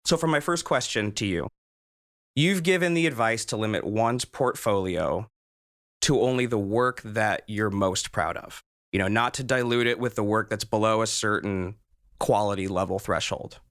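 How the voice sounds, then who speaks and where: Male podcast host, early 30s, lowish voice, conversational interview delivery, very dry sound. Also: moderate pace, fairly bright tone, dry recording. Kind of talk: conversational interviewing and show narration.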